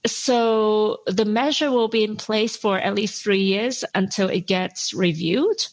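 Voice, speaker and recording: A woman's voice: mid-range voice, slow pace, poor audio. A woman in her forties from Hong Kong, a podcast guest telling her own story in a reflective way and laughing often. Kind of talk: personal interview storytelling.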